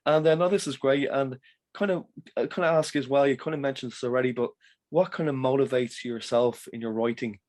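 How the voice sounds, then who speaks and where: A man's voice: medium pitch, a little fast, a little mellow. Upbeat Irish man hosting a podcast. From Ireland. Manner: upbeat, encouraging, rambling questions. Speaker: podcast host. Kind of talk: casual interview.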